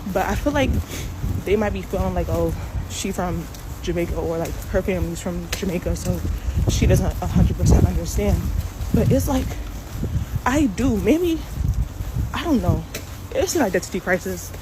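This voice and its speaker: A woman's voice: fairly low pitch, normal speed, long pauses. A young woman with a New York accent talking casually to camera, rambling and unsure, full of fillers. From Brooklyn, New York. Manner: rambling and unsure, many fillers like "like" and "I don't know". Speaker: social media commenter. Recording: loud street noise with traffic.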